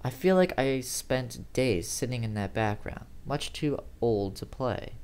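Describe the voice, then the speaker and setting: A man's voice: medium pitch, expressive, unhurried, darkish tone, clear, resonant tone. A man reading a first-person horror story aloud in a dramatic, theatrical way, sometimes stumbling over words. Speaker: amateur horror story reader.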